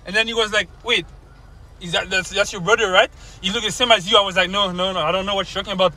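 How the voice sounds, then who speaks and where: A man's voice: high voice, steady tone, fast talker, sharp tone. A man hosting a casual prank vlog from inside a car, talking to the camera and to drive-thru staff. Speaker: prank video host. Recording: noisy car interior, ambient vehicle noise.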